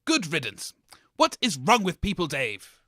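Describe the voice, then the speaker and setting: A man's voice: on the high side, wildly swooping pitch, unhurried, pushed and bright, no room sound, studio quality, full character voice. A man playing a grumpy, blunt radio co-host, scolding and exasperated in a scripted comedy show. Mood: scornful.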